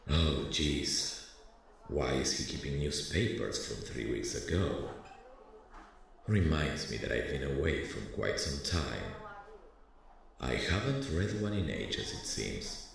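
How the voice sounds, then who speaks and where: An actor playing a weary, brooding former detective who thinks aloud slowly in an ASMR audio drama, with a deep, gravelly voice. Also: male voice, very animated, full character voice. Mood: curious, uneasy. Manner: slow, musing, muttering to himself. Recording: very roomy, echoing sound.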